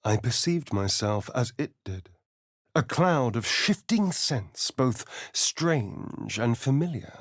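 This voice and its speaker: A vain, flirtatious male vampire rogue for a video game. His delivery is arch and theatrical, swooping widely in pitch and taking its time. The sound is dry and close. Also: sing-song, takes it slowly, fairly bright tone, dry recording, full character voice. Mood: grave, reflective. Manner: theatrical, arch, languid drawl. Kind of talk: video game character lines.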